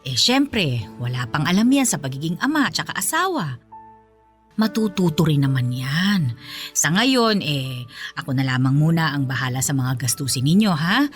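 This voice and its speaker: Animated, nagging Filipina mother-in-law. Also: deep voice, soft background music. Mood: dismissive, placating. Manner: nagging, dismissive, drawn-out phrases. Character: indulgent, scolding middle-aged Filipina mother-in-law. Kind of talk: Filipino radio drama acting.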